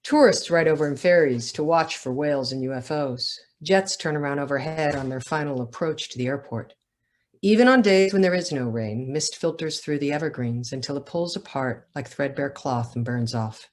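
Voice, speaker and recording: Woman writer at a book event, lowish voice, presenting her own work. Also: normal speed. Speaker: author. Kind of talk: casual talk, then literary reading aloud.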